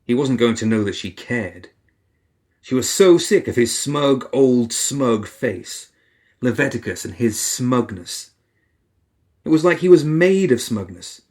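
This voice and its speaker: Male narrator for fiction audiobook, mid-pitched, expressive read that voices characters' inner thoughts. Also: a little slow.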